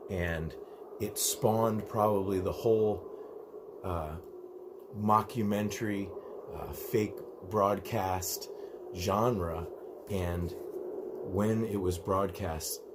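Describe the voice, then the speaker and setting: A man chatting casually to viewers as host of a record review channel, halting with pauses. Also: low voice. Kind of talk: casual, low-key chat to viewers. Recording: noisy room recording.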